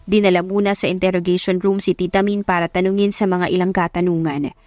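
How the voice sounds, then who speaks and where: A woman's voice: fairly low pitch, level tone, quick pace, darkish tone, no room sound, studio quality, faint music behind. A woman narrator reading a scripted first-person love story as a dramatic audiobook.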